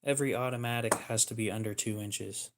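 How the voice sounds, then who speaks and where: A man's voice: on the low side, fairly even intonation, brisk, very noisy. A man hosting a livestream, chatting casually in a joking, sarcastic way with some swearing. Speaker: livestream host.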